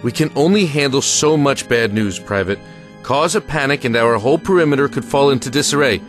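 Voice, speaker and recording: A man's voice: medium pitch, expressive, a little fast, over music, lightly acted. A man playing a burdened, stern American army lieutenant in a war audio drama, firm and commanding.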